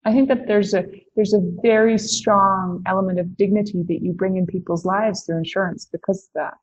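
A woman's voice: mid-range voice, fairly even intonation, brisk, highs cut off. A woman from the Netherlands, a company founder answering interview questions, thinking aloud with many "like"s and restarts. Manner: lots of "like" and restarts, thoughtful.

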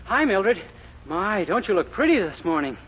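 A man's voice: high-pitched, very animated, normal speed, husky, heavily acted. An actor playing a naive, eager and cocky young man in 1940s radio comedy, with a boyish, guileless manner. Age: young adult. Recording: muffled, hissy 1940s radio recording.

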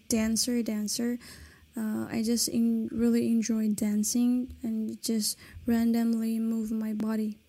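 A woman in her late twenties, a native Kazakh speaker from China, hosts a solo podcast in English. Her voice is very dark and mellow and sits a little high, with a flat, even melody. She talks slowly and hesitantly, full of fillers and restarts. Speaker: podcast host. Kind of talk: casual solo podcast monologue.

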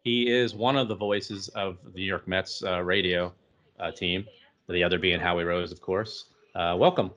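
A man's voice: sits low, fairly even intonation, moderate pace, a bit bright, dull audio. A middle-aged man hosting a podcast in a casual interview style. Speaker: podcast host.

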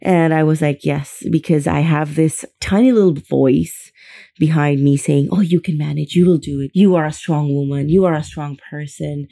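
A young woman hosts a solo podcast in a low, mellow voice with a gravelly fry. She talks earnestly and chattily, doubling words to stress a point. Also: fairly even intonation, moderate pace. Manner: earnest, chatty, emphatic repetitions. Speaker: podcast host. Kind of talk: casual solo podcast talk.

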